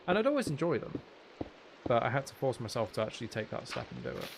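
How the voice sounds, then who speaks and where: A man with a creaky, fry-laden voice at an ordinary male pitch talks casually on a gaming livestream. He rambles and hesitates, thinking out loud as he goes. Faint noise and clicks sit behind him. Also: wildly swooping pitch, quick pace. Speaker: streamer.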